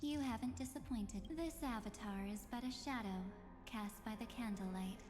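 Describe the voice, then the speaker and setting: A woman's voice: fairly high pitch, moderate pace, darkish tone, close to an everyday voice. A woman playing an obsessed, scheming villainess in a video game, speaking with theatrical condescension and menacing endearments. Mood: silky, possessive. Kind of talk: scripted video game voice acting. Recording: echoey long reverb with music behind.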